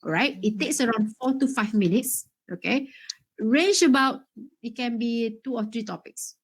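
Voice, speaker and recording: A woman's voice: a little high, moderate pace, breathy edge, poor audio. A woman teaching an exam workshop in a chatty way, checking in often with "okay?" and "right?". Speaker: IELTS workshop instructor.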